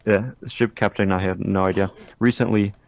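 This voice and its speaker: A man's voice: on the low side, fairly even intonation, normal speed, vocal fry, a little mellow. A man whose first language is French, chatting casually with friends, grumbling in mock annoyance and correcting himself as he goes. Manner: joking mock-grumpy, self-correcting. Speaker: friend drawing on stream. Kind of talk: casual group chat.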